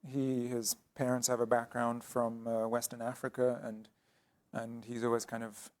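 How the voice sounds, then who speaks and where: A man speaking as an artist guest in an interview, explaining his work thoughtfully with frequent pauses and restarts. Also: mid-range voice, completely flat delivery, a little mellow. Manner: hesitant, many ums and restarts.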